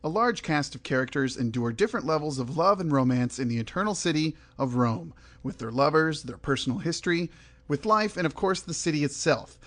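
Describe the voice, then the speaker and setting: A man's voice: on the high side, expressive, fairly quick, fairly bright tone. A man hosting a film review podcast, moving between prepared remarks and conversational opinion. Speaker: podcast host. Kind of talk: film review, scripted intro and loose discussion.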